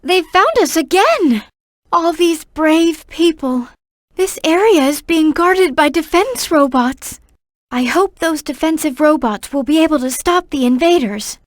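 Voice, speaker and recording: A woman voicing a gentle, earnest young girl in a video game, caring and polite in the way she talks. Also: high-pitched, expressive, a little slow, dry recording, studio quality. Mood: alarmed, pleading, then earnest, concerned.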